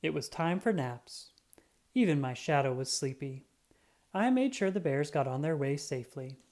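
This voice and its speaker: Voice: mid-range voice, normal speed. A storybook narrator reading a children's picture book aloud expressively and dramatically, as a self-important cat detective telling a tale. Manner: expressive, dramatic, acts out sound effects.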